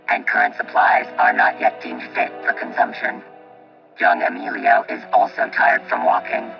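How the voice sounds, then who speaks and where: A man's voice: rumbling low, gravelly, dull audio, exaggerated voice. A man playing a stiff, protective combat robot in an audio drama, speaking formally and literally through a robotic filter. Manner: formal, clipped, literal phrasing.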